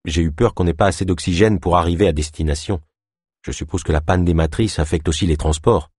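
A man's voice: sits low, a little fast, a little mellow, slightly rough, dry recording, studio quality. A man voicing a secretive, evasive male colleague in an audiobook, explaining things in a matter-of-fact way. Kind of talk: audiobook character acting.

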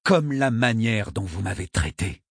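Proud inquisitor cardinal acted by a male narrator, indignant, pitched up, husky and swooping. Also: expressive, measured pace, no room sound, studio quality, full character voice. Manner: outraged, raised, challenging delivery. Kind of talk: audiobook character acting.

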